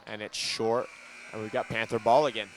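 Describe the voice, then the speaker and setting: A man calling a school basketball game live, brisk play-by-play that gets loud on big shots, with a ball bouncing in the gym behind him. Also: lowish voice, level tone, noisy background. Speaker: play-by-play commentator.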